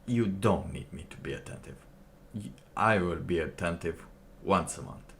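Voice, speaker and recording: A man streaming alone, reacting to a clip with slow, musing casual commentary and laughing to himself. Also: sits low, animated, creaky, a little hiss or hum.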